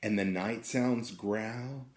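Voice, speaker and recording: A man reading a picture book aloud to children in an expressive, drawn-out way, inviting them to join in. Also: on the low side, slight background noise. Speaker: teacher reading a story to children. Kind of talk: animated picture-book storytelling. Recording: roomy, echoing indoor sound.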